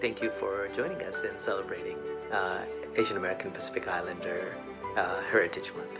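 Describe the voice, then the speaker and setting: A man's voice: deep voice, steady tone, fairly quick, low-quality recording. A man working as an astrophysicist, giving a short corporate greeting. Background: loud background music.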